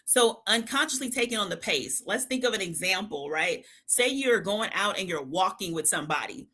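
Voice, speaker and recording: Bright energetic woman from Oakland. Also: mid-range voice, moderate pace, slightly rough. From East Oakland, California. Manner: energetic, laughs often, repeats points. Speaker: financial psychologist, co-host. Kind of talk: live stream chat.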